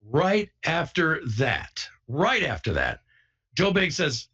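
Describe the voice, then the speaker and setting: A man with a somewhat high, slightly husky voice speaks informally to his viewers. He is emphatic and insistent, circling back to repeat phrases. The audio is a little dull and lo-fi. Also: huge pitch swings, takes it slowly, sharp tone. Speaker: online broadcaster. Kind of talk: informal first-person account to viewers.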